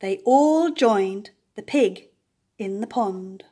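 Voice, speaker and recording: An older woman reading a children's picture book aloud, with expressive emphasis and playful animal sounds. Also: medium pitch, slow, husky. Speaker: storyteller. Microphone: camera above the book on a table.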